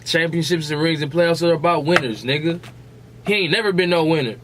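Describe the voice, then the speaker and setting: Challenging American man, slightly high, faint noise. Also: a little fast. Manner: challenging, combative, swears freely. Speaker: podcast host. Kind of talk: casual heated sports debate.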